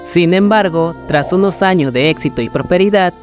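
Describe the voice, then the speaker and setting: A man's voice: sits high, normal speed. A man reading a scripted creepypasta narration as a storyteller. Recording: music behind the voice. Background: background music.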